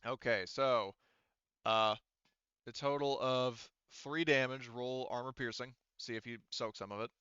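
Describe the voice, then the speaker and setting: A man narrating and calling rules as game master of a tabletop roleplaying game, in a casual, halting way with trailing thoughts. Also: medium pitch, steady tone, bright, forceful tone, clear, resonant tone, dry recording, highs cut off.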